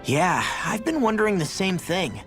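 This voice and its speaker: A level-headed young fighter from a fantasy game. His delivery is breezy and casual with very varied pitch, over light music. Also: sing-song, moderate pace. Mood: reassuring. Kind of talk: video game dialogue acting.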